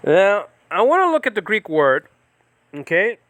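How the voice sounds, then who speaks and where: A man's voice: very animated, fairly bright tone, dry recording. Rambling man teaching scripture. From United States. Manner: rambling, halting, lifts pitch and volume when reading scripture. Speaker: Bible teacher and ministry host. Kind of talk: informal Bible study talk. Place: home in the Philippines.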